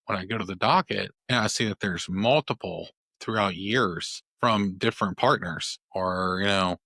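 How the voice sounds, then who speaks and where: Low, bright-toned man hosting. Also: normal speed, gravelly, no echo. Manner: thoughtful, rambling with many restarts and "you know". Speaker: podcast host, family law attorney. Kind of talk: conversational interview.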